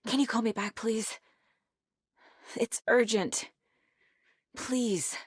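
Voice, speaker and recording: An actress playing a determined, level-headed FBI agent in a video game, speaking in a measured, serious and slightly husky voice. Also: female voice, fairly high pitch, dry recording, clean studio sound. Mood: urgent. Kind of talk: naturalistic dramatic game dialogue and inner monologue.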